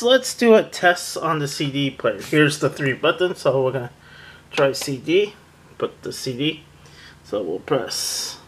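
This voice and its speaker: A man casually demonstrating a product hands-on, narrating step by step in a plain, halting way. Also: noisy background. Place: indoors, close tabletop setup. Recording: music from a boombox behind the voice. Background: loud music from the boombox.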